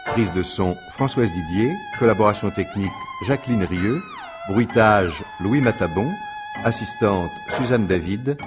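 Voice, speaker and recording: A man announces radio drama credits in 1950s style. His low voice moves with animated intonation over prominent music. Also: unhurried.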